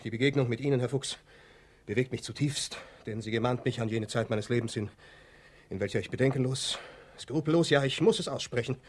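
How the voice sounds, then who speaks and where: A man's voice: medium pitch, a little fast, a little hiss or hum, lightly acted. An actor playing a pompous, evasive male doctor in a 1960s radio play, with stilted, formal and rhetorical delivery. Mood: contrite, theatrical. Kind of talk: 1960s German-language radio play acting.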